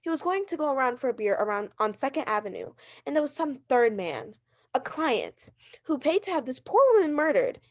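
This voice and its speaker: Woman acting a neurotic, high-voiced invalid wife. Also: big pitch swings, a little fast, full character voice. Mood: urgent, distressed. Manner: insistent, fretful, stammering when challenged. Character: neurotic, imperious, anxious bedridden wife phoning the police. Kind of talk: 1940s radio drama acting.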